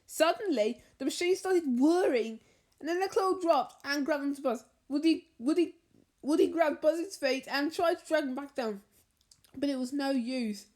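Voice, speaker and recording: A woman reading a children's bedtime story aloud, haltingly, stumbling over and repeating words as she goes. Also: high-pitched, a little mellow. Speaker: storybook reader.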